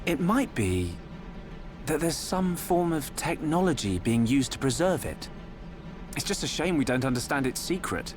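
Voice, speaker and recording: A man's voice: a little high, big pitch swings, moderate pace. An actor voicing an earnest, thoughtful young male researcher in a video game cutscene, explaining things with curiosity. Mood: earnest, determined. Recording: music behind the voice. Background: music.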